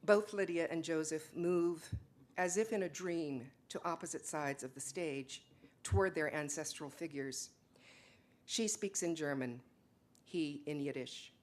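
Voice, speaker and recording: A woman's voice: sits low, unhurried. A woman narrating scripted stage directions as part of a dramatic reading. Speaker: dramatic reader.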